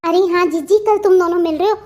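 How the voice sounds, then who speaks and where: A woman's voice: extremely high pitch, fairly even intonation, quick pace, a little mellow. A woman playing a scheming young sister-in-law in a family cartoon, coaxing and conspiratorial. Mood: sly, coaxing. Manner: coaxing, reassuring, sly. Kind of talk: melodramatic family cartoon dialogue.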